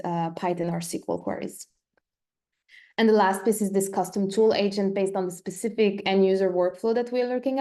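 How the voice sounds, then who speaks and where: A woman's voice: medium pitch, warm tone. A woman giving an explanatory webinar presentation, walking methodically through technical material step by step.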